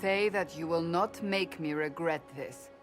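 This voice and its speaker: A woman's voice: lowish voice, unhurried, faint music behind, lightly acted. A woman playing a stern, devout military leader in a fantasy video game, speaking earnestly and formally with resolve. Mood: earnest conviction. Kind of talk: dramatic video game dialogue.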